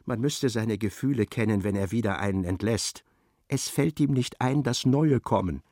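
A man narrating a literary radio play in a measured, precise and dramatic way. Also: fairly low pitch, a little mellow, no room sound, studio quality.

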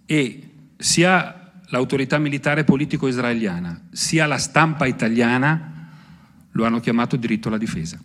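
A man giving an analytical political speech at a live event, deliberate and factual with emphasis on key words. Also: mid-range voice, expressive, a bit bright. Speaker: speaker at a benefit event for Gaza. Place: live public event, speaking to an audience.

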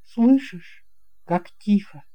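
A narrator voicing a friendly, confident boy pilot in an audio fairy tale, calm and reassuring. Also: medium pitch, slow pace, pitched above the performer's own voice. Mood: quiet, wistful.

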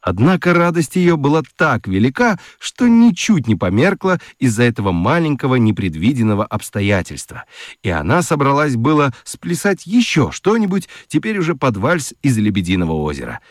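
A man narrating a children's radio story in an expressive, theatrical, confiding way with playful gravity and dramatic pauses. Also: medium pitch, no echo, clean studio sound. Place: studio. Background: music.

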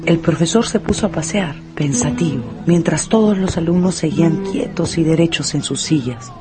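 Expressive woman narrator over music. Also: deep voice, moderate pace. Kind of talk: dramatised storytelling narration for radio.

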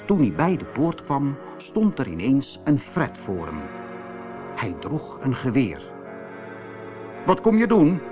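Animated male storyteller over music. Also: mid-range voice, slow. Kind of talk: expressive children's audiobook narration.

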